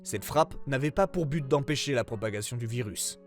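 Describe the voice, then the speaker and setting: A man playing a government investigator who reads out a formal case report in a serious, measured way, as scripted narration. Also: mid-range voice, faint music behind, natural voice. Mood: grave, formal.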